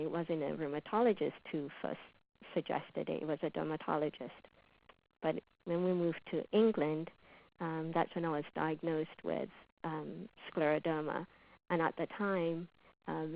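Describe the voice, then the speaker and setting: A woman from Uganda, a patient and public health specialist, talking in a relaxed, thoughtful way with many fillers. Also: low voice, vocal fry. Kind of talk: conversational webinar talk. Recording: tinny phone-like line.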